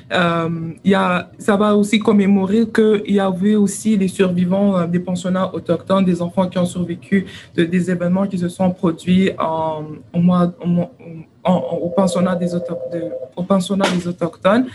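A woman's voice: mid-range voice, darkish tone. Hesitant young woman, faint noise. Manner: hesitant, searching for words. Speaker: guest. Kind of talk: radio discussion answer.

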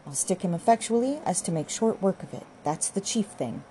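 A woman's voice: on the low side, lively intonation, fairly quick, dark tone, slight background noise, close to an everyday voice. A woman voicing a tender-hearted young countryman, earnest and pained, in an audiobook. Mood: determined. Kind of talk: audiobook character dialogue.

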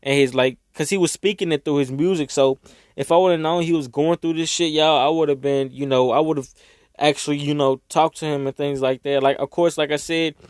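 A young man talking casually and emotionally to his viewers, rambling and swearing, his voice raspy from crying. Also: a little high, steady tone, a little fast, a little mellow, no echo, clean studio sound. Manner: rambling, swearing, heartfelt, Southern US slang. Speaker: vlogger. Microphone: own microphone.

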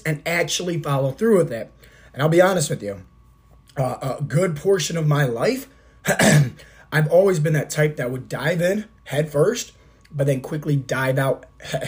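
A man in his thirties with a scratchy, worn-out throat, his voice fading as he talks. He speaks in a slightly high register, earnest and repetitive like a fitness coach rallying his listeners. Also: a little mellow. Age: 30s. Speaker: podcast host and fitness coach. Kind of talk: motivational podcast monologue.

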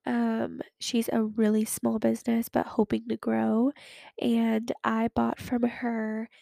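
Nasal young woman podcaster, gravelly and flat. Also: a little high, slow, no room sound, clean studio sound. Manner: chatty, fillers like "like" and "um". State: sick, recovering from a cold. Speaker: podcast host. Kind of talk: casual chat.

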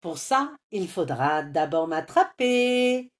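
A clever little hen girl from a storybook, as a woman reading aloud puts her on. She teases and bargains in a sly, sing-song voice that bounces high and low. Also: big pitch swings, slow pace.

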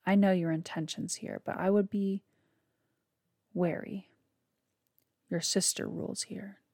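A woman's voice: mid-range voice, steady tone, a little slow, creaky, dry recording, studio quality. A woman whispering as a cold ghostly father. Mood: grave. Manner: hushed, grave warnings. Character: stern ghostly old father, cold and warning.

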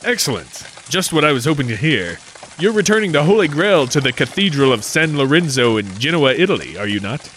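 A man plays a wily adventurer in a comedic 1940s-style radio play. He delivers sly, folksy patter full of old-time slang, with a lively pitch that rises and falls freely. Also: fairly quick, slight background noise, rough recording. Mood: scheming. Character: folksy, wily adventurer narrating his own scheme.

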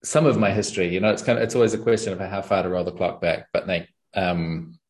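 Thoughtful New man, low voice. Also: steady tone, fast, a little mellow. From New Zealand. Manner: thoughtful, searching for words, frequent ums and laughs. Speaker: podcast guest and channel host. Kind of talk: casual conversational interview.

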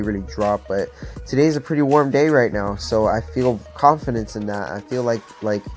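A man's voice: lowish voice, a little mellow. A man in his twenties from Florida, talking as a podcast host in a casual, motivational monologue, earnest and upbeat, with many fillers like "like" and "um". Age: 20s.

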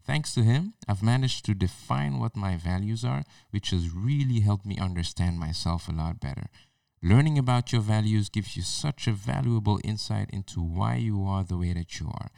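A man's voice: lowish voice, expressive, moderate pace, creaky, no room sound. A male podcast host introducing a guest and asking earnest, encouraging questions. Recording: dry, mellow, slightly distant mic.

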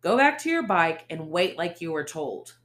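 A gruff, aggressive male cop barks his lines in an audiobook, as a woman narrator puts him on. He sounds hostile and domineering, a small-town detective with no patience for anyone. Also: a little high, fairly even intonation, measured pace, sharp tone, full character voice. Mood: ordering. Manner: barking, hostile.

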